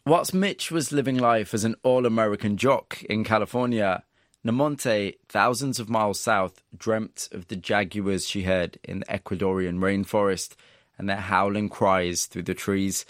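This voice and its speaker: Man, interviewer and narrator, lowish voice, close dry sound, scripted storytelling and questions. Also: unhurried, dry recording.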